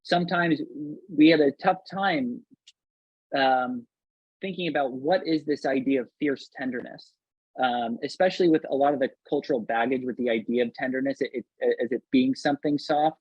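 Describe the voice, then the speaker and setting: Husky, halting male panel moderator. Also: mid-range voice, a little mellow, no echo, lo-fi sound. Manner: hesitant, halting, polite.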